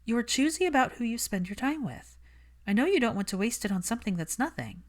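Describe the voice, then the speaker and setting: Narrator as a guarded, defensive young woman, higher-pitched. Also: sing-song, a little fast. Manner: careful, argumentative, wordy. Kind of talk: audiobook character dialogue.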